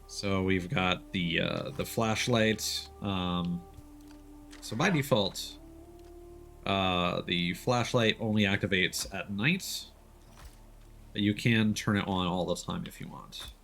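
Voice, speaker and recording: A man's voice: low voice, slow pace, creaky, a bit bright, soft background music. A man doing live let's-play commentary, casually explaining the game as he plays. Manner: casual, instructive. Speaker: game streamer. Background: video game ambience.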